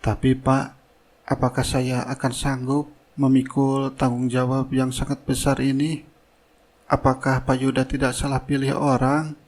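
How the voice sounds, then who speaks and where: A man's voice: mid-range voice, completely flat delivery, unhurried, a little mellow, close to an everyday voice. A calculating young cartoon-drama worker, voiced by a man. He sounds polite and meek on the surface, with a sly, self-serving streak underneath. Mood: meek, deferential. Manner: deferential aloud, sly in private. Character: scheming gold-digging young worker, outwardly meek and innocent. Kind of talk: cartoon drama dialogue and inner thoughts.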